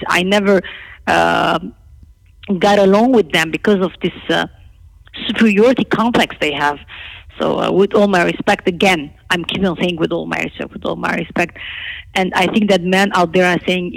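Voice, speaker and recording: A middle-aged woman from Lebanon, a native Armenian speaker, talking candidly and emphatically about her own life as an interview guest, with a creaky voice. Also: lowish voice, rough recording. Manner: outspoken, emphatic.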